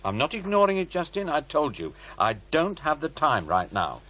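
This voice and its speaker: A man's voice: fairly high pitch, wildly swooping pitch, moderate pace, pushed and bright, heavily acted. An actor playing a busy, sceptical male author in a horror radio drama, dry and probing. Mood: defensive.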